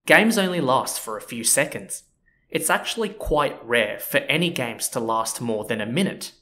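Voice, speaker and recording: A man hosting a history podcast, narrating in a relaxed, chatty way with frequent asides to the listener. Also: mid-range voice, moderate pace. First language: not Japanese. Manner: relaxed and chatty, with frequent "you know" and "so yeah". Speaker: podcast host.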